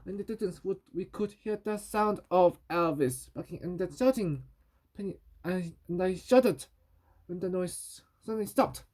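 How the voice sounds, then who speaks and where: A woman reading a children's story aloud in a halting way, repeating and correcting words as she goes. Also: fairly low pitch, a little mellow.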